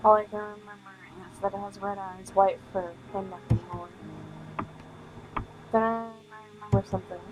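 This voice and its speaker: A woman streaming a visual novel, reading the text aloud with halting delivery and casual commentary. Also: mid-range voice, steady tone, poor audio. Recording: music playing behind the voice. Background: music.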